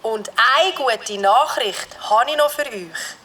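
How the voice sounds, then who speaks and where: Village mayor, formal speech, high voice, faint hiss. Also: female voice, expressive, takes it slowly, sharp tone, exaggerated voice, rough recording. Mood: solemn.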